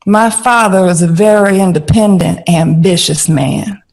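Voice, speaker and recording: A woman's voice: fairly low pitch, a little slow, vocal fry, darkish tone. An actress playing a bold, outspoken jazz-era film star in an audio drama, blunt and salty, stretching her phrases for emphasis. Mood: wounded, reflective. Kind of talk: period audio drama dialogue.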